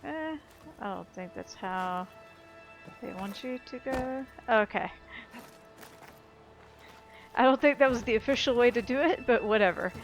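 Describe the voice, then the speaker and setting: A woman's voice: a little high, expressive, a little slow, pauses often, soft background music, poor audio. A woman giving casual, offhand commentary on her own video game playthrough, swearing freely.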